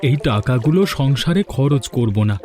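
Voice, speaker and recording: A man voicing a blunt, short-tempered adult fisherman in a cartoon, gruff and scolding. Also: mid-range voice, expressive, moderate pace, mellow, soft background music, close to an everyday voice. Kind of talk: cartoon dub acting.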